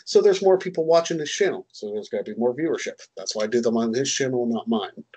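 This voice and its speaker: A man's voice: medium pitch, lively intonation, fast talker, darkish tone, breathy edge. A man in his thirties co-hosting a casual chat on a headset, rambling and self-deprecating with many ums. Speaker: co-host. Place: home room, indoors.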